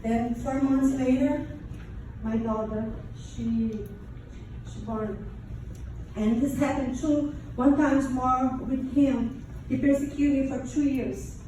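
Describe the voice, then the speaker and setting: Echoey, halting Brazilian woman, high voice. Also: steady tone. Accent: Brazilian Portuguese. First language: Portuguese. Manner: halting, with non-native English phrasing. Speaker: survivor giving testimony. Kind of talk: personal testimony to an audience. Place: large echoey room, before an audience. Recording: very echoey room, distant mic, faint noise.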